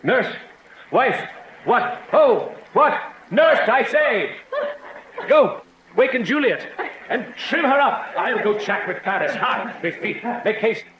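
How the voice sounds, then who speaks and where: A man's voice: very high voice, sing-song, slow pace, a bit bright, full character voice. A man playing a bustling, commanding middle-aged father of a noble house in a Shakespearean radio drama, with blustery archaic speech. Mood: bustling, excited. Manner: blustery, imperious delivery of archaic lines. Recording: roomy, noisy ambient backdrop.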